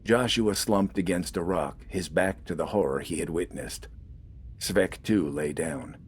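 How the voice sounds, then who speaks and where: A man's voice: low-pitched, measured pace, husky. A man reading scripted, dramatic fiction as an audiobook narrator.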